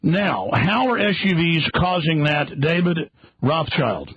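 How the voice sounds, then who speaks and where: A man with a raspy voice, a combative radio host confronting his guest with accusations. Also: fairly high pitch, very animated, takes it slowly, no echo. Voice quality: husky, raspy. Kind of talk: confrontational interview. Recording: dry, dull radio recording.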